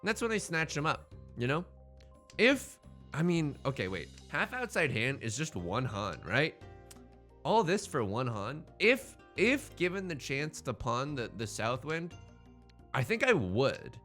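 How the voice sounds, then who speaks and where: A man's voice: fairly high pitch, sing-song, a little slow, bright, forceful tone, faint music behind. A man hosting a gaming let's play with casual, joking commentary, thinking aloud in a rambling, playfully dramatic and self-deprecating way. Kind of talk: casual running game commentary with joking banter.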